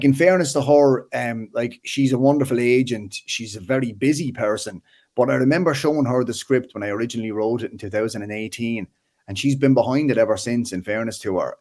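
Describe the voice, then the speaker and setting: A man in his thirties with a Waterford Irish accent, a filmmaker chatting casually as an interview guest, animated and full of restarts. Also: mid-range voice. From Ireland. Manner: animated, restarts often, frequent "like" and "you know".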